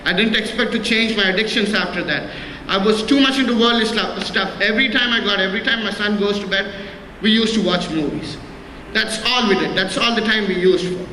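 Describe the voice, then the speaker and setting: A man's voice: high-pitched, sharp tone. A man giving his church testimony, earnest and plain, stumbling and repeating words as he goes. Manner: halting, many restarts and repeated words. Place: church hall. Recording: echoey hall with faint noise.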